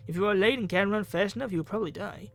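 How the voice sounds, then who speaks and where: A man's voice: sits high, fast talker, creaky, fairly bright tone. A man playing a guilt-ridden, desperate teenage friend in a visual novel game, earnest and pleading. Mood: apologetic, determined.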